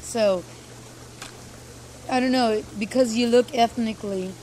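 A woman's voice: on the high side, fairly even intonation, unhurried, a little mellow. A young American woman chatting casually and talkatively as an interviewee, repeating herself for emphasis. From United States. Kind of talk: casual chat. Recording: noisy location with vehicle sounds.

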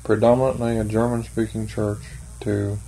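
A woman being interviewed about her church's hymn singing, speaking thoughtfully and hesitantly with many pauses and fillers. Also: a bass voice, level tone, dark tone, rough recording. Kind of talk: oral history interview.

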